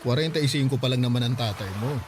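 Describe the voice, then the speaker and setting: A devoted middle-aged dad played by a male actor in a Filipino radio drama. He sounds reassuring and folksy, with a mid-pitched voice over clearly noisy ambience. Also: fast, natural voice. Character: devoted, hardworking 45-year-old single father, weary but cheerful.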